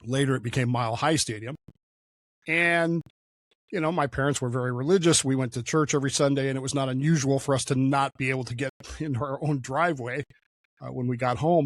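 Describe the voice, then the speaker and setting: A man in his sixties hosts a solo talk show and talks without a script, wandering off on tangents. His pitch sits in the middle, and he fills pauses with "uh" and "um." The room is dry and close, with almost no echo. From Oklahoma, raised in Denver. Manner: conversational, digressive, frequent fillers. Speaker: show host. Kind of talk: solo opinion monologue.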